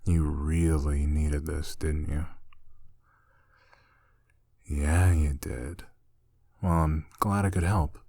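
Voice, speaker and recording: A young man acting as a gentle, teasing roommate in an intimate ASMR roleplay, speaking soothingly and coaxingly, close and soft. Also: extremely low pitch, fairly even intonation, takes it slowly, gravelly, pauses often, no echo, heavily acted. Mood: tender teasing. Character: gentle, teasing male roommate soothing a shy catgirl-like companion.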